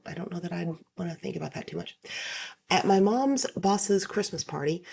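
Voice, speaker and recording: A woman hosts a casual story-reading session in a lowish, slightly hoarse voice. There is plenty of fry in it. She moves between reading aloud and offhand commentary in an informal way. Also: darkish tone. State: slightly hoarse, getting over illness. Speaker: host reading online stories aloud.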